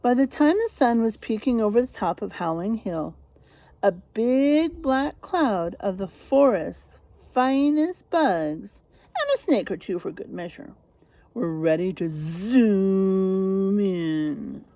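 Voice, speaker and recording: A woman reading a picture book aloud like a teacher, expressive and dramatic, pausing to put questions to young listeners. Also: mid-range voice, no echo. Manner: animated, dramatic delivery with drawn-out words.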